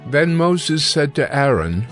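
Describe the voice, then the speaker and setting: A man giving scripted scripture narration in a measured, solemn manner. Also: a little high, very animated, fairly bright tone. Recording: background music under narration.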